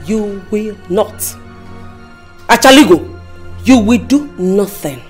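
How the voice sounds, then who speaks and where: A woman's voice: mid-range voice, lively intonation, slow, faint music behind. An actress playing an imperious royal woman who commands and insists. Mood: commanding. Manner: commanding, insistent, repeating demands. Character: imperious royal woman backing the missing heir.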